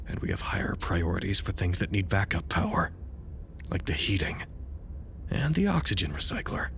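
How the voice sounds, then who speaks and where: An actor playing a dutiful researcher at an underground anomaly site in an audio drama, speaking in a formal, wordy way with dry wit. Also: male voice, sits low, vocal fry, slight background noise. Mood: wry, weary. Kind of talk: audio drama, recorded log monologue.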